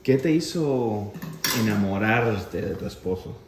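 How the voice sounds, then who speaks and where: A man's voice: fairly low pitch, lively intonation, slow. Male interviewer, casual questions read aloud, roomy echo, faint background noise. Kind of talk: reading out casual personal questions. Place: home kitchen. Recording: echoey home kitchen, faint noise.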